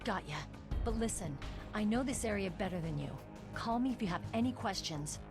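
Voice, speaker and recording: A woman's voice: medium pitch, lively intonation, brisk, natural voice. Woman acting earnest young rookie soldier. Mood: resigned. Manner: earnest, dramatic. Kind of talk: dramatic video game voice acting. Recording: game audio under background music.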